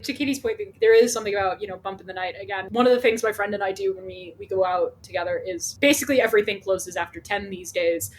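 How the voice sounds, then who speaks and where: A woman's voice: mid-range voice, steady tone, quick pace, few pauses, low-quality recording. A woman chatting informally and playfully as a podcast co-host. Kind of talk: casual podcast chat.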